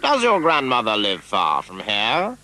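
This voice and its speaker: A man voicing a big bad male wolf who is sly and hungry, drawing out his words slowly. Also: mid-range voice, wildly swooping pitch, sharp tone, full character voice. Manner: slow, drawn-out, sly wheedling.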